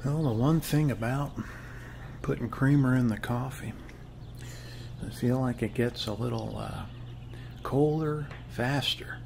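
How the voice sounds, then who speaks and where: A man playing a friendly, rambling middle-aged suburban neighbor in an ASMR role-play, with an American accent and a soft, hesitant, folksy delivery. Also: mid-range voice, a little slow, creaky, pauses often. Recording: faint steady background noise.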